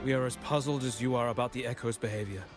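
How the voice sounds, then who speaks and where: An actor playing a courteous gentleman of a powerful merchant family in a video game, formal and obliging. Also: medium pitch, steady tone, fast talker, over music, lightly acted. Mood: apologetic, courteous.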